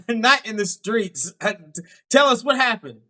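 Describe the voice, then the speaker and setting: A man's voice: extremely high pitch, sharp tone. A man with a Pittsburgh accent hosting a live dating show, asking upbeat questions and often saying "okay, okay". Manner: upbeat, quick questions with frequent affirmations. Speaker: dating coach host.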